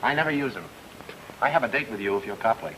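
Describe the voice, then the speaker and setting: An actor playing a glib, wisecracking private detective in an old-time radio drama. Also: male voice, medium pitch, fast talker, fairly bright tone, close to an everyday voice. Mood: brisk, businesslike. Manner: glib, flippant. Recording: noisy old radio recording.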